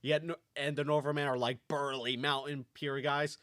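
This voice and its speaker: Young man, higher-pitched voice, rambling casual chat, dry close sound. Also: little pitch movement, normal speed, a bit bright, dry recording. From Kosovo. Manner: rambling, many fillers and restarts.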